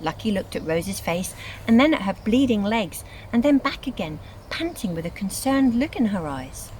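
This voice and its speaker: A woman reads scripted storybook narration in an expressive, dramatic storytelling manner. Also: mid-range voice, moderate pace, slight background noise, rough recording. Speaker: reader of a children's book.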